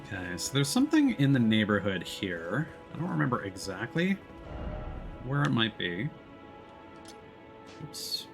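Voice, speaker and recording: Low-voiced man streaming, lively intonation. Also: measured pace, gravelly, long pauses. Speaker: game streamer. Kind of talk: casual live gameplay commentary. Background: game music.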